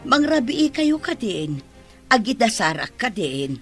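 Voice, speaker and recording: A woman playing a deferential, worried household maid with a breathy voice in an audio drama. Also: on the high side, sing-song, measured pace, fairly bright tone, soft background music.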